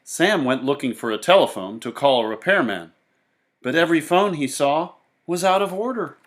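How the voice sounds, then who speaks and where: A man narrates a children's storybook with theatrical flair. His voice is on the high side and swings expressively up and down. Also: expressive, normal speed, a bit bright. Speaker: storybook reader.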